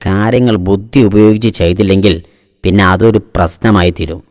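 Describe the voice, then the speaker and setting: A man's voice: fairly low pitch, steady tone, a little mellow, no echo, studio quality, close to an everyday voice. A man playing a scheming cartoon boss. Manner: scheming, bossy, deliberate. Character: shrewd middle-aged male boss. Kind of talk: cartoon voice acting. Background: background music.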